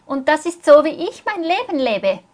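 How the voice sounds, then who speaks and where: High-voiced woman interpreting a sermon. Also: very animated, normal speed, darkish tone. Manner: earnest, follows the preacher closely.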